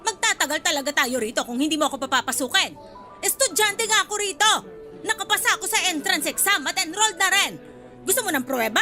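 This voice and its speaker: A woman's voice: very high voice, lively intonation, fast talker, over music. An actress in a Filipino radio drama playing an indignant woman near sixty, a new college freshman who is combative, exasperated and demanding.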